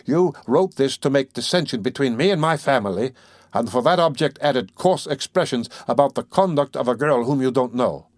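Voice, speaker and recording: A man's voice: mid-range voice, lively intonation, normal speed, no room sound, voice pushed up, natural voice. A man reading aloud, playing a bitter young man who speaks sharply and sarcastically. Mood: sharp accusing.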